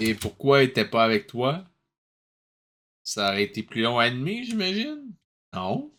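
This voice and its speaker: A man's voice: mid-range voice, big pitch swings, normal speed, fairly bright tone. A man with a Québécois accent giving casual, mocking, incredulous commentary as host of a cartoon review.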